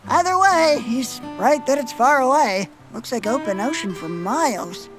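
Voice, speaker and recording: A woman's voice: high voice, lively intonation, moderate pace, music in the background, rough recording. A woman voicing a sceptical, analytical child adventurer in a cartoon parody, articulate and matter-of-fact with an exasperated edge. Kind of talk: comedic animated parody voice acting.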